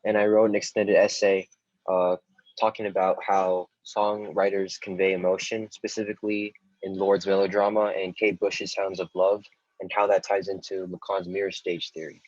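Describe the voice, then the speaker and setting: A teenage boy from California introducing himself on a student panel, halting at first. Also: sits low, level tone, a little mellow, no echo. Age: teens. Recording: dry, dull lo-fi call audio.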